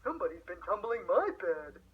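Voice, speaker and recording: A woman's voice: fairly low pitch, very animated, brisk, husky, highs cut off, full character voice. Woman as a milder, complaining middle-sized bear. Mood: puzzled complaint. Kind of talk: storybook character voice.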